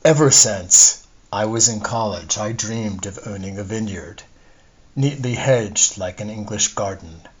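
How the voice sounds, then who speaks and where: A man's voice: lowish voice, a little slow, breathy edge. A man reading a literary monologue as a wistful, discontented middle-aged wife, confiding and opinionated, in his own everyday voice. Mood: wistful, proud. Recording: dull lo-fi recording.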